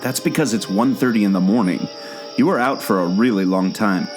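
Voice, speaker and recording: A man's voice: fairly low pitch, fairly quick, music in the background, natural voice. A man playing an earnest, obsessive amateur sleuth and former jungle skipper in a scripted audio drama. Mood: purposeful. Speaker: podcast co-host.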